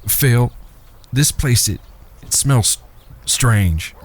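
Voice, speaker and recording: A man acts as a brooding cowboy in a Western audio drama. His voice is middling in pitch, careful and understated, over clearly audible background noise. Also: animated, extremely slow. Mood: wary. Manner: cautious, uneasy, understated. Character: wary, brooding older cowboy brother. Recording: noisy ambient background.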